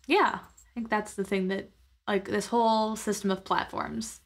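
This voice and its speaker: A woman's voice: mid-range voice, fairly even intonation, unhurried. A woman playing a tabletop game, talking casually and making up details as she goes. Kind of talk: casual improvised game talk.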